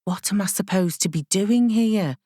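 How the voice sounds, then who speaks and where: A woman's voice: medium pitch, normal speed, dark tone, no echo, clean studio sound, voice pushed up, natural voice. A woman reading an audiobook, voicing a blunt, grumbling woman learner who questions things in a colloquial, querulous way. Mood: hesitant, curious. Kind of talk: audiobook character dialogue.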